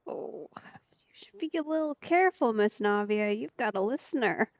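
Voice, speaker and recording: A woman's voice: a little high, expressive, normal speed, highs cut off. A woman commentating casually on a game as she plays, chatty and reactive. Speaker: gaming host. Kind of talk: let's play commentary.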